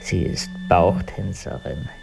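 A husband in a radio play, with a low, heavily creaking male voice. He is stiffly dignified and evasive, answering in formal deadpan over clearly audible music. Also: takes it slowly, exaggerated voice. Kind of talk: German radio drama acting.

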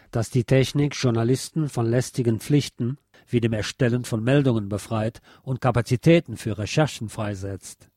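A man narrating a scripted journalistic essay in a measured, formal read-aloud delivery. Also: mid-range voice, darkish tone, no echo, clean studio sound.

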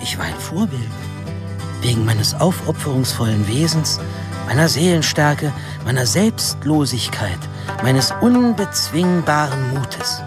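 A man's voice: mid-range voice, expressive, measured pace, natural voice. An actor playing a wry young man narrating his own story in a radio drama, ironic and mock-solemn. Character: wry, self-aggrandising young male narrator recalling his youth. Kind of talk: scripted first-person drama narration. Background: music.

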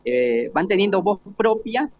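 Guy explaining municipal stuff, slightly high voice, tinny phone-like radio sound. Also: big pitch swings, unhurried. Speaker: municipal official. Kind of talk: explanatory panel talk. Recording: thin phone-like radio broadcast.

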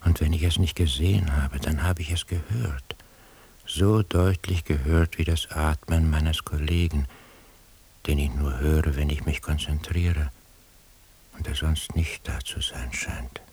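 Very deep, husky surveillance man. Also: fairly even intonation, darkish tone, full character voice. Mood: uneasy searching. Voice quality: very husky, breathy. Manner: slow, half-whispered, ruminating. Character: obsessive, troubled male surveillance listener, doubting himself. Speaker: radio drama actor. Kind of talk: 1990s radio drama inner monologue.

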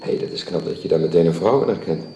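A man's voice: low-pitched, expressive, fast, dark tone. An actor playing a courteous middle-aged father and employer in a Dutch radio drama, speaking formally, hesitantly and persuasively. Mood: gentle, awkward. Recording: roomy, hissy old radio recording.

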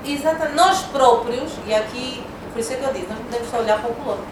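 A woman's voice: a little high, quick pace. A woman arguing hard in a public debate, repeating words for emphasis. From Angola. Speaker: debate speaker. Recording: echoey room from far off, noisy.

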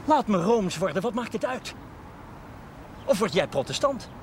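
A man's voice: high voice, very animated, normal speed, a little mellow, a little hiss or hum. An actor playing an ardent young man courting his sweetheart in a period television drama. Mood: teasing. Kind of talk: 1970s Dutch television period drama acting.

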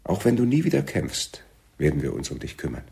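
A man playing a wary, suspicious militant leader in a German radio play, husky and measured in his questioning. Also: a bass voice, sing-song, dark tone, full character voice. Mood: tender, solemn. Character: wary, soft-spoken male Palestinian militant leader, suspicious lover.

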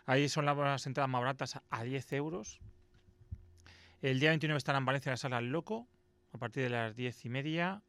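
A man's voice: medium pitch, a bit bright, no room sound. A man from co-hosting a radio music show, reading out concert listings with casual asides. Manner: brisk announcing, casual asides.

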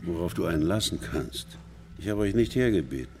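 An actor playing a surly, menacing bar tough in a radio drama, gruff and challenging. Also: male voice, sits low, lively intonation, fairly quick. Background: music.

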